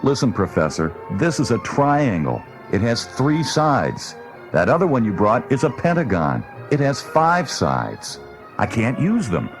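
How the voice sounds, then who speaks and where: A man playing a gruff, impatient space pilot with a brusque, sarcastic manner in a children's read-along story. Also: mid-range voice, huge pitch swings, unhurried, soft background music.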